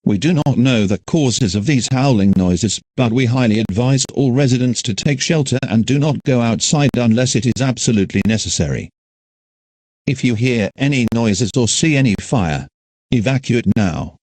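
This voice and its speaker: A man reads a scripted emergency alert as an announcer in a formal, measured way. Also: medium pitch, animated, a little mellow, no echo.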